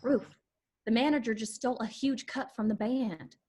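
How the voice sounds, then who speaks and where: A woman's voice: on the high side, steady tone, normal speed, darkish tone, breathy edge. Woman as a wry young bartender. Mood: urgent. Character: young woman bartender, quick-witted, plain-spoken, slightly awkward. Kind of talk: screenplay table read.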